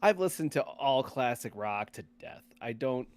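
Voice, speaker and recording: A man hosting a podcast in casual chat, often repeating and rephrasing himself in a halting way. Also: medium pitch, lively intonation, clean tone. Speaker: podcast host.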